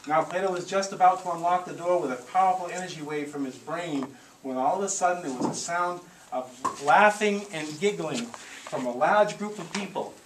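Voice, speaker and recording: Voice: mid-range voice, normal speed. A storyteller reading aloud to a live group in a theatrical, encouraging way, with coaching asides. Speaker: storyteller leading a live audio theatre show. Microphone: handheld camcorder at a distance. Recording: echoey room, distant camcorder mic, noisy.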